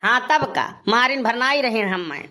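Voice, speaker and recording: A man's voice: very high-pitched, expressive, a little slow, bright, forceful tone, exaggerated voice. A man playing an aggrieved, boastful village husband in a cartoon comedy, grumbling and scolding with a rustic eastern Uttar Pradesh accent. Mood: irritated. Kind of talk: Awadhi cartoon comedy dialogue.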